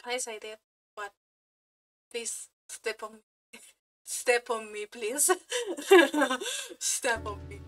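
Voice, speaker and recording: A woman's voice: a little high, big pitch swings, extremely slow, pauses often, over music. A woman hosting a reaction video, commenting casually with giggly, exclamatory remarks and loose swearing.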